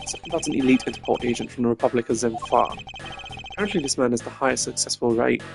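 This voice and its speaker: A man playing a composed, formal and condescending young prosecutor in a video game, speaking in a measured, haughty way. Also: mid-range voice, creaky, music in the background, natural voice. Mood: pensive, formal.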